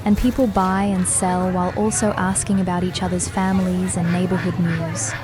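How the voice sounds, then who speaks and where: A young woman from Vietnam, narrating a travel vlog about her home village in a calm, measured, explanatory voice-over. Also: lowish voice, a crowd in the background. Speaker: vlogger. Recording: voice-over with clear background music. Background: background music.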